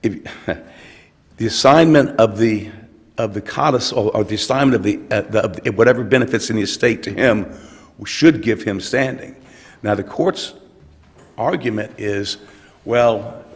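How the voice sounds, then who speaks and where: An older male attorney, hoarse from a cold, arguing an appeal in a courtroom with frequent halting restarts. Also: fairly low pitch. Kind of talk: appellate oral argument. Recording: long courtroom reverb.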